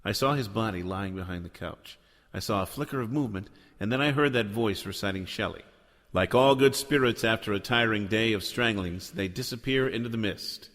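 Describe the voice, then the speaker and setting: An actor playing a sardonic, deadpan noir detective who both narrates and talks in a radio drama. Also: male voice, on the low side, brisk. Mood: brooding narration. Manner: sardonic, deadpan, world-weary. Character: cynical wisecracking hardboiled male noir detective, Joe Smoke.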